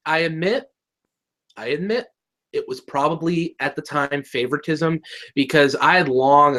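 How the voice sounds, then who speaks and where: A man co-hosting a casual review chat over a webcam, joking and ranting, often restarting his sentences. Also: medium pitch. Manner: excitable, joking, trails off and restarts. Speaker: co-host of a review video. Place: indoor room.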